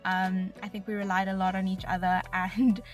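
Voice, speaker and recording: A woman's voice: mid-range voice, little pitch movement, moderate pace. A woman in her twenties with a South African accent, giving a personal testimonial as a recent graduate. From Bloemfontein, South Africa. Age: 20s. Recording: voice over background music. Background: music.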